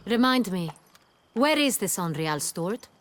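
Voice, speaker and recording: An actress playing an imperious, exacting ruler of noble birth in a video game, speaking in a commanding, haughty and formal manner. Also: female voice, mid-range voice, sing-song, unhurried.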